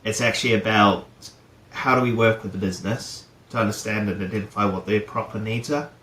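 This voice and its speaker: Middle-aged man from New Zealand, low voice, rambling interview guest, echoey room with faint hum. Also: steady tone, a little fast, a bit bright. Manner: rambling, many fillers and restarts. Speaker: guest, head of procurement. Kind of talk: casual interview chat.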